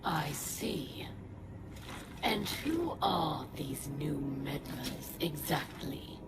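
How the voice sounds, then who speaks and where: A woman playing a bitter, commanding alien pirate warlord in a video game, with a slow, grandiose, menacing delivery. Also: deep voice, very animated, heavy background noise, heavily acted. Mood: cold, commanding. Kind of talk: dramatic video game acting.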